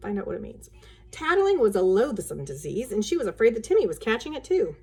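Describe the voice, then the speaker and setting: Animated, husky woman storyteller. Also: fairly high pitch, a little fast. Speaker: storyteller reading to children. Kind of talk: expressive storybook narration with casual asides.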